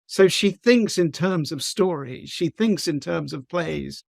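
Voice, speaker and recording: A man from the east of England with an English accent, a novelist talking thoughtfully in an interview, pausing with frequent ums and restarts. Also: on the high side, gravelly, no room sound, studio quality. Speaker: guest novelist. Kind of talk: conversational interview.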